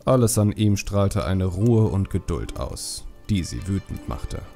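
A man's voice: deep voice, lively intonation, unhurried, gravelly, mellow, soft background music. A man narrating a fantasy audiobook as scripted storytelling.

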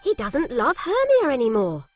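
A woman narrating a children's story in simple, clearly scripted lines with expressive delivery. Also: high-pitched, normal speed. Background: music.

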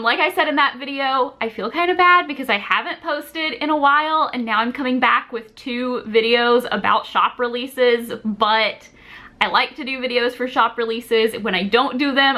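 A woman's voice: on the high side, moderate pace, sharp tone. A woman in her twenties chatting casually to camera in a rambling, self-deprecating, informal way, recorded in a small room at home. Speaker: vlogger and shop owner.

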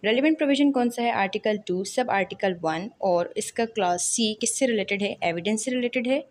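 A woman's voice: mid-range voice, fairly quick. A woman with a Pakistani accent giving an explanatory lecture, teaching by posing questions and then answering them. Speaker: law lecturer. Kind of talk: explanatory lecture, narrated over slides.

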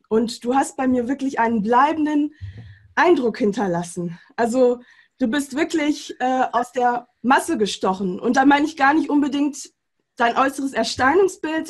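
A woman in her forties hosts an interview over a webcam from a room at home. Her voice is fairly high and breathy, and her talk is eager, with many hesitations. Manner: warm enthusiastic with many fillers. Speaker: interview host, mindset coach. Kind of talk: video call interview.

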